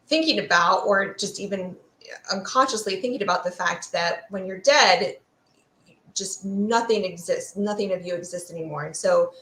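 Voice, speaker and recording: A woman with a fairly low voice speaks as an expert guest in an interview. She explains ideas in a thoughtful, academic and discursive way. The sound is roomy and echoey. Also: pushed and bright. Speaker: guest expert, fear sociologist.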